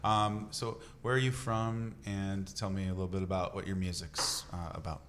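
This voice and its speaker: Deep-ish male radio host, effusive. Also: flat delivery, moderate pace, a bit bright. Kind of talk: casual radio interview. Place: radio studio.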